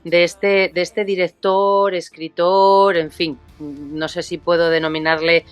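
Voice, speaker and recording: Low-voiced woman host. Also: level tone, takes it slowly, clean tone, no echo, soft background music. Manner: friendly, rambling questions. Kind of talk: hosting an interview.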